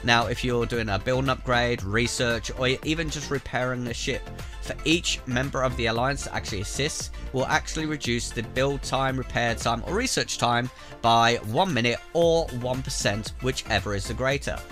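A man's voice: medium pitch, moderate pace, music in the background. A man with a cold, bunged up, casually narrating a game tutorial over gameplay and giving chatty, direct advice to viewers. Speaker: gaming YouTuber. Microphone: voice-over over gameplay capture.

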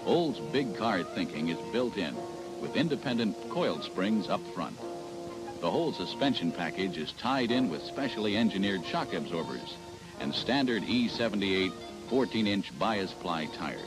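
A man's voice: low voice, very animated, normal speed, poor audio. An American man narrating a scripted 1970s promotional sales film in a polished announcer's delivery. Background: music.

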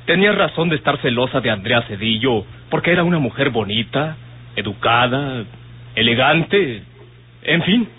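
Calm confidant in a radio serial; raspy mid-range voice, wry, reasoning, tinny recording. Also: male voice, medium pitch. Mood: amused, reassuring. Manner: measured, teasing, reasoning. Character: calm, wryly amused male confidant reassuring a woman. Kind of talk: old radio drama acting.

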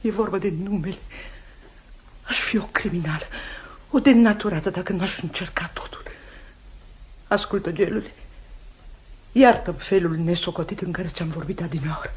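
An actress playing a proud older aunt. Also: female voice, fairly low pitch, long pauses, a little mellow, lightly acted. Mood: pleading. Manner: emotional, shifts from stern to pleading whispers. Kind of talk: 1950s radio drama acting.

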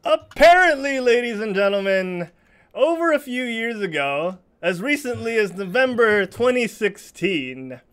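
A man's voice: sits high, very animated, a little slow, a bit bright, smooth. A man hosting a casual gaming podcast over a video call, reading out listener reviews with a playful, announcer-like flair. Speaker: podcast host.

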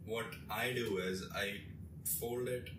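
Low-voiced young man, roomy and distant. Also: fairly even intonation, slow pace, background noise. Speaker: tutorial presenter. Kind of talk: casual how-to demonstration. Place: small bedroom, indoors. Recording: roomy echo, distant mic, music behind. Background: background music.

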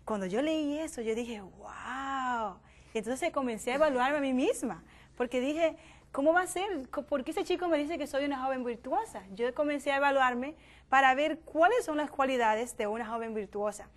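A young woman from the Dominican Republic hosting a youth talk show in a TV studio, lively and quick to laugh. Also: high voice. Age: young adult. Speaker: host. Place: forest-themed TV studio set. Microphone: lapel mic.

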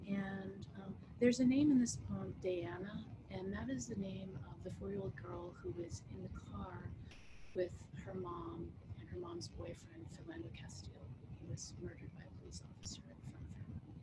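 A middle-aged American woman poet giving a reading of her work, with measured, deliberate phrasing. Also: mid-range voice, steady tone. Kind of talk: poetry reading with casual introductions. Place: book-lined wood-panelled room at home, indoors. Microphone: computer mic on video call. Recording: very noisy video-call audio.

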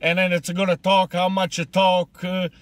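A man voicing an older immigrant grandfather on a comedic prank call, confused and crude, in halting, repetitive broken English. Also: high voice, completely flat delivery, clear, resonant tone, natural voice. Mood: halting, earnest. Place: inside a parked car.

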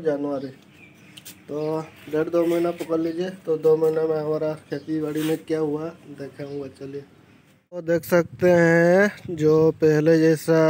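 A young man shows off his garden on a handheld phone, talking straight to his viewers with plain enthusiasm. His voice sits a little high yet stays level, with a mellow, soft edge. Faint outdoor sounds and birds are heard behind him. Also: slight background noise. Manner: chatty, enthusiastic, addresses viewers directly. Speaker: vlogger. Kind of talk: casual vlog walkthrough. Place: outdoor garden and vegetable plot. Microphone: phone held at arm's length.

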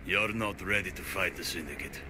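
An actor playing a huge, grim, calm male ally in a video game, speaking in a measured, solemn way. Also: deep voice, lightly acted. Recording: faint background noise.

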